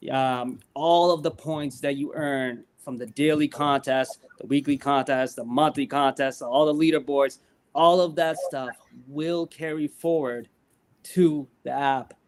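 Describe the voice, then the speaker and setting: A man appearing as a guest on a casual show, upbeat as he pitches features and talks with many fillers. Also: steady tone, unhurried. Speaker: guest promoting a sports app. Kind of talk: casual promotional chat.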